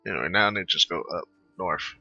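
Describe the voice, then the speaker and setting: A man giving relaxed, rambling commentary while playing a game. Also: low voice, fast talker, creaky, lo-fi sound, faint music behind. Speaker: gamer. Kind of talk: casual gameplay commentary.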